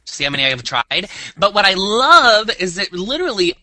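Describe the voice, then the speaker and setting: A woman's voice: mid-range voice, big pitch swings, fairly quick, pushed and bright, no room sound. A woman co-hosting a casual chat show over a remote call, making wry, joking asides. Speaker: podcast co-host.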